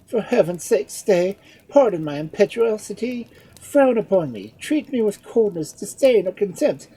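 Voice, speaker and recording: A woman's voice: deep voice, very animated, moderate pace, warm tone, slightly rough, pitched above the performer's own voice, full character voice, low-quality recording. A woman voicing a passionate young male lord who pleads and exclaims in a storybook reading. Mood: pleading.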